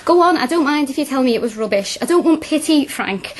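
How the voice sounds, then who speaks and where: A young working-class woman in a radio play. Her voice sits a little high. She is spirited and plain-spoken, pushing insistently and hungry to learn. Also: animated, a little fast. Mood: urgent, insistent. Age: young adult. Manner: colloquial, insistent. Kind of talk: radio drama acting.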